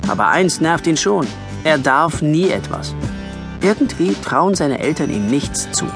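A man narrating a scripted children's story with lively storytelling delivery. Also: a little high, unhurried, vocal fry, over music. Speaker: audiobook narrator.

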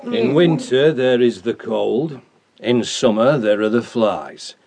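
An actor playing a cynical old donkey in a radio drama, weary and deadpan. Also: male voice, medium pitch, takes it slowly. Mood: weary, fatalistic. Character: old male donkey, cynical, gloomy, stoical.

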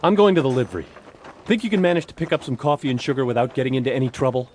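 Man playing a lively-pitched, protective frontier brother. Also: racing, a little hiss or hum, natural voice. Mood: firm, exasperated. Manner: earnest, admonishing, dryly teasing. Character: protective, level-headed brother of a young male rancher. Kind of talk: dramatized western audiobook acting.

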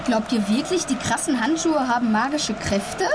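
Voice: mid-range voice, animated, moderate pace, background noise, lightly acted. An actor voicing a cocky, teasing young boy football fan with a cheeky, confident way of talking in a children's audio drama. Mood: curious, decisive.

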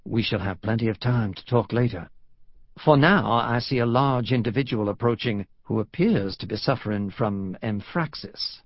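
A man voicing a cultured, wry gentleman investigator with a smooth Southern drawl in an audiobook. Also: on the low side, normal speed, no echo, lo-fi sound. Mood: courteous. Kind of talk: audiobook character voice.

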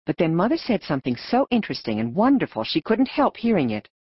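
A woman narrating an audiobook story in an expressive storytelling manner. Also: on the low side, fast, slightly rough, dry recording, muffled recording.

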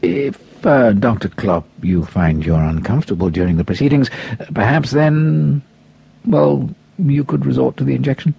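A man's voice: medium pitch, normal speed, vocal fry, husky, highs cut off, pitched above the performer's own voice. A man playing a sardonic dental patient in an audiobook, needling his dentist.

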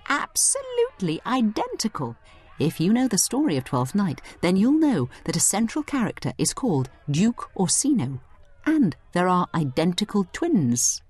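Animated woman guiding an audio lesson. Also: medium pitch, breathy edge. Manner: theatrical, explanatory, breaks off into asides. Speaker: narrator and guide of an audio study guide. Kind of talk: scripted dramatised educational narration, addressing the listener as a tour guide.

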